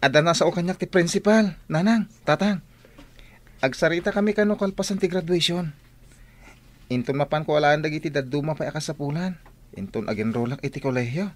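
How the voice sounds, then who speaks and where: High-voiced earnest Ilocano schoolboy, played by a man. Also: brisk, natural voice. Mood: hopeful. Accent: Filipino (Ilocano). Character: earnest, humble teenage male student, top of class. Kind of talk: radio drama acting.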